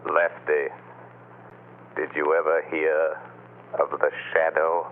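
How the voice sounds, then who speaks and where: An actor playing a menacing, mysterious avenger in an old radio drama, deliberate and sinister. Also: male voice, rumbling low, completely flat delivery. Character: menacing mysterious male avenger taunting a crook. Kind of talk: 1940s radio drama acting. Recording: muffled, hissy old radio broadcast.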